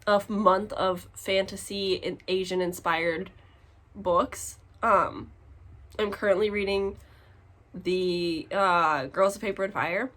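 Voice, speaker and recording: A woman chatting casually to her vlog audience in an expressive, giggly, exclaiming way, as a book vlogger showing her purchases. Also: medium pitch, takes it slowly. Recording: clear background noise.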